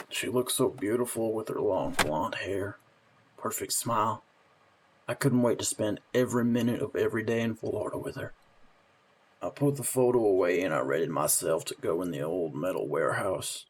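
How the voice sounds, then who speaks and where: A man's voice: lowish voice, slight background noise, lightly acted. A man playing a grim, hard-boiled police detective who narrates his story in an amateur audio drama. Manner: somber first-person storytelling.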